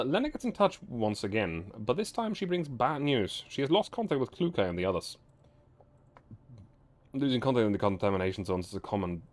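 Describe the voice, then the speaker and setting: Guy streaming a game, reading the story out loud, kinda raspy, pitch moves around a lot, trips on words, music playing. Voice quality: slightly raspy. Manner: halting, often stumbles and corrects words while reading. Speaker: livestream host. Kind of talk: live read-aloud of game story, with casual asides.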